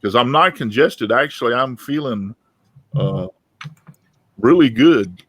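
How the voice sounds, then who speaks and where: A man's voice: mid-range voice, lively intonation, slow, a bit bright, no echo. A man hosting a casual live group chat about his craft, talking in a folksy, rambling way and often repeating himself. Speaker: host of a woodworking talk show.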